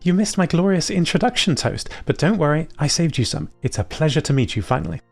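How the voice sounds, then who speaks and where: A man puts on an exuberant woman tinkerer for audio fiction. The voice is lifted high, chatty and excitable, gushing in a friendly way over music. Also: quick pace, gravelly. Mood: warm, welcoming. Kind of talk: audio fiction character dialogue.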